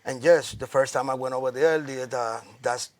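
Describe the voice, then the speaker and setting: A man from Rico, a wrestling guest answering interview questions haltingly, with many restarts. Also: mid-range voice, steady tone, no echo. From Puerto Rico.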